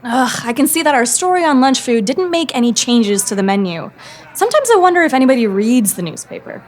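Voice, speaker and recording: A woman's voice: high voice, very animated, a little fast. A woman playing a driven, earnest teenage student journalist in a scripted audio drama. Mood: exasperated. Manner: earnest, determined.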